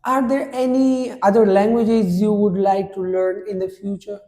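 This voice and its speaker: Formal male examiner, warm low tone. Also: level tone. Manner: formal, measured questioning. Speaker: speaking test examiner. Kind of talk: scripted exam questions.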